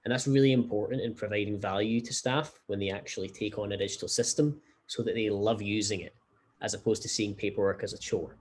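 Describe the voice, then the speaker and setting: A man from Scotland with a noticeable Glasgow accent and a somewhat low voice. He talks in a measured, explanatory way, dropping in the occasional "um". He sounds like a guest on a remote interview call. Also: fairly even intonation, slightly rough. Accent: Scottish, Glasgow. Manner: measured, explanatory, frequent fillers. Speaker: startup founder, guest. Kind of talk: conversational interview.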